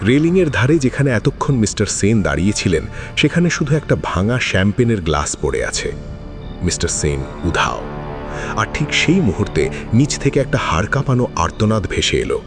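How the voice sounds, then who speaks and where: A man's voice: on the low side, big pitch swings, a little fast, over music. A man narrating a suspense thriller in a dramatic, scripted storytelling style.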